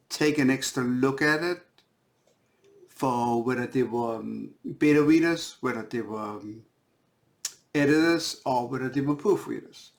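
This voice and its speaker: A Danish-accented man speaks slowly, with plenty of gravelly fry in his voice. He is an author talking informally about his own work, and he halts and circles back over phrases as he looks for the right word. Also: mid-range voice. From Denmark. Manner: halting, searching for words, repeating phrases. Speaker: indie author.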